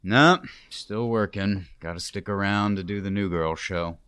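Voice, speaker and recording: An actor playing an easygoing radio station producer, chatting casually with colleagues. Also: male voice, low voice, measured pace, a bit bright, clean tone, no room sound. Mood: relaxed. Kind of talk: casual workplace chat.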